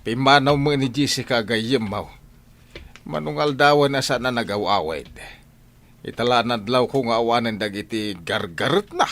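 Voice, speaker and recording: A man's voice: mid-range voice, normal speed, a bit bright, close to an everyday voice. An actor playing an inquisitive older village man in a radio drama, with an Ilocano accent from the northern Philippines. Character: older village man, inquisitive elder asking after a young woman.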